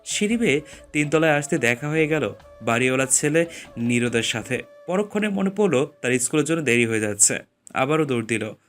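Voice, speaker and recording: A man's voice: medium pitch, lively intonation, a little fast, a bit bright, faint music behind. A man reading scripted story narration as the narrator.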